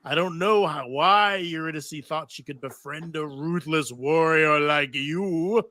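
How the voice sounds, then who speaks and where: A man playing a bitter, grieving musician, speaking slowly and accusingly in a live script read. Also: fairly high pitch, bright, forceful tone, no echo. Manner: slow, accusing delivery. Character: bitter grieving male musician, blaming a warrior.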